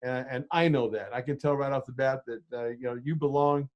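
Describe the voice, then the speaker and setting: A man's voice: medium pitch, fairly quick, muffled recording. A man in his sixties hosting a podcast interview, blunt and rambling, swearing freely in casual chat. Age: 60s. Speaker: podcast host.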